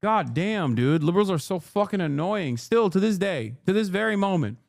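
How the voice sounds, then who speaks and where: A man's voice: sits high, expressive, moderate pace, gravelly, no echo, studio quality. A man in his thirties, a streamer, ranting in heated, profane reaction commentary and laughing as he talks. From Turkey. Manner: laughs through his lines.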